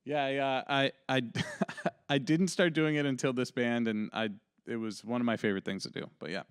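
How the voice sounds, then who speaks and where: Smooth-voiced man hosting a podcast. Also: mid-range voice, steady tone, moderate pace, no room sound, clean studio sound. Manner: easygoing, agreeable, laughs often. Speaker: podcast host. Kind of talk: casual chat.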